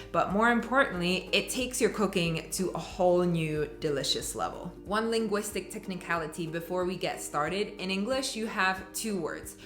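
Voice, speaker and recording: A young woman with a Czech accent, giving a relaxed, chatty step-by-step cooking voice-over as a home cook. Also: medium pitch. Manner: relaxed, chatty explaining with light laughs.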